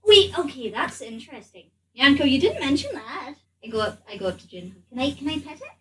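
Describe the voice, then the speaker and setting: A woman's voice: sits high, very animated, fairly quick, distant mic, poor audio. A woman playing a tabletop role-playing game, chatting casually in character in a hesitant, eager, polite way. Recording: off mic, background noise.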